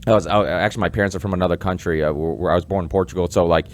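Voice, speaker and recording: A man in his twenties hosting a podcast, talking in fast, rambling bursts and stumbling over words. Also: sits low, steady tone, a little hiss or hum. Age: 20s. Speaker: podcast host. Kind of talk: podcast interview.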